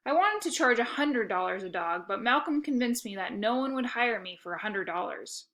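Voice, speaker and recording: A woman narrates a children's book in a bright, forward voice that sits a little high. Her storytelling is expressive and animated, made for reading aloud. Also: normal speed. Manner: animated, acts out dialogue.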